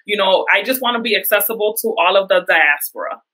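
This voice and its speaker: A woman's voice: medium pitch, quick pace, sharp tone, slightly rough. A young woman from the Dominican Republic, talking earnestly as an interview guest over a video call, with lots of filler words. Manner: earnest, uses many fillers. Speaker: guest, entrepreneur.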